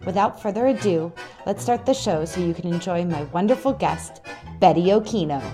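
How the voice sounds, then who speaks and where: A woman hosting a podcast interview, enthusiastic and quick to laugh. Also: lowish voice, expressive, mellow, soft background music. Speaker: podcast host.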